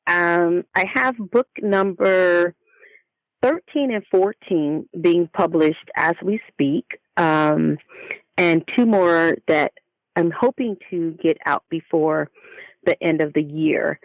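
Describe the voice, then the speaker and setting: A woman being interviewed as an author, telling her stories in an expressive, conversational way. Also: lowish voice, slow, dry recording, rough recording. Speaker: guest, children's book author.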